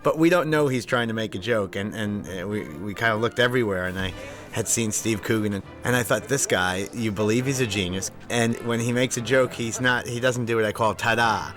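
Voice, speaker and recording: A man's voice: lowish voice, fairly quick, over music. A man, a film director, talking casually and enthusiastically about casting his actors. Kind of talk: interview reflection.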